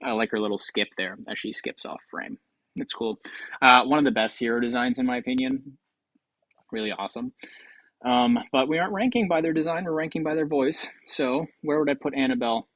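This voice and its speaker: A male video host rambling casually through his opinions in a mid-pitched voice. He sounds hesitant and self-deprecating, on a close, dry mic. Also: brisk, a bit bright, no echo, low-quality recording. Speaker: video host ranking game voice lines.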